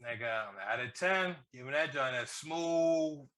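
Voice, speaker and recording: A man with a very bright, forward voice that sits a little high co-hosts a review show. He talks in loose, joking street slang and swears freely in casual chat. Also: measured pace. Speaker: podcast co-host reviewing movies.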